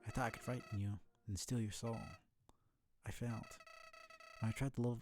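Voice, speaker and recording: A man voicing a bored, manipulative killer flower from a video game, reading its monologue in a deliberate, brooding, theatrical way. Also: mid-range voice, fairly even intonation, lightly acted. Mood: cold, bragging. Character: sinister talking flower, bored, manipulative, world-weary killer. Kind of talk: video game dialogue read aloud. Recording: music behind the voice.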